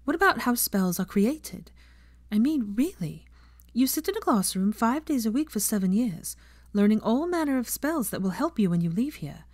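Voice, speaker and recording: A young schoolmistress, as a woman narrator plays her, addresses her class in a raised, projected voice. She is brisk and earnest, encouraging pupils with questions in an audiobook story. The sound is dry. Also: fairly high pitch, sing-song, darkish tone, no echo, pitched above the performer's own voice. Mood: brisk, instructive.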